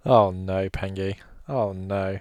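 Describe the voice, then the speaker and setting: A man hosting a casual gaming podcast, a bit croaky, with playful teasing banter. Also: sits low, fairly even intonation, slow pace, darkish tone, dry recording. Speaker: podcast host.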